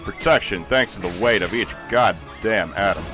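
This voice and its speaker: A man doing casual, joking co-commentary on a video game in a wry, deadpan manner. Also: lowish voice, moderate pace, over music. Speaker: let's play co-commentator.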